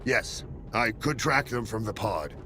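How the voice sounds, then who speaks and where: An actor playing a firm, purposeful military colonel in a video game cutscene, speaking deliberately and with authority. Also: male voice, on the low side, expressive, background noise. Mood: commanding.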